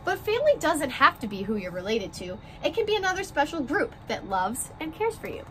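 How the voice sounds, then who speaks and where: Higher-voiced young woman teacher addressing children. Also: sing-song, fairly quick, slight background noise. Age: 20s. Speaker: first grade teacher reading aloud. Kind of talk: children's read-aloud and direct address to young pupils. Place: outdoors on a porch by glass doors.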